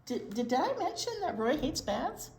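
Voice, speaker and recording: A woman reading a picture book aloud to young children in an expressive way, pausing to explain words simply. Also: high voice. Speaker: library storytime presenter, children's teacher. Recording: roomy echo, light background noise.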